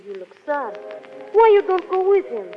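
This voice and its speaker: High-voiced cafe flirt. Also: female voice, big pitch swings, normal speed. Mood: sympathetic. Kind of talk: 1930s radio acting. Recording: muffled 1930s radio recording, faint music.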